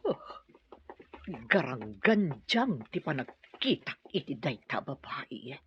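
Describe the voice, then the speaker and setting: A woman's voice: rumbling low, big pitch swings, slow, muffled recording, a little hiss or hum, full character voice. An actress playing a strict, suspicious mother of a grown son in a radio drama, haughty and scolding. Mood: irritated, scolding.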